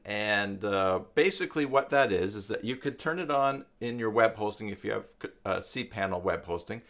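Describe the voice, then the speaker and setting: A man's voice: lowish voice, fairly bright tone. A man in his fifties hosting a live-stream tutorial in a casual, conversational way, wandering into asides. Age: 50s.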